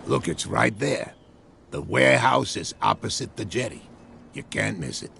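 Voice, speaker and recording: A man's voice: on the low side, animated, normal speed, creaky, sharp tone, slight background noise, exaggerated voice. A man voicing a superstitious old sailor at the docks, knowing and teasing, in a video game.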